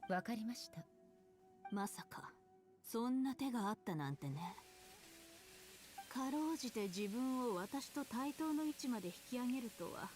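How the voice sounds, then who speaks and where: A woman's voice: fairly high pitch, very animated, quick pace, long pauses. A woman playing a haughty, cruel, elegant villainess in a Japanese video game, speaking with imperious, mocking theatricality. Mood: cold, menacing. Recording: game audio under loud music. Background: loud game music.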